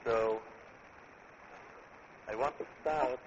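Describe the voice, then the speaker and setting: A man with an Israeli accent, a company chief executive giving a conference presentation in a deliberate way, repeating words as he builds his points. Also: mid-range voice. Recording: muffled recording with clear background noise.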